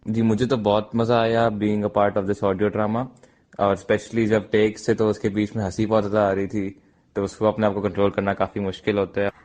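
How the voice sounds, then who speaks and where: A man's voice: on the low side, little pitch movement, normal speed, a little mellow. A young man giving a casual interview answer on dull lo-fi audio. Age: young adult. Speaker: audio drama voice actor being interviewed.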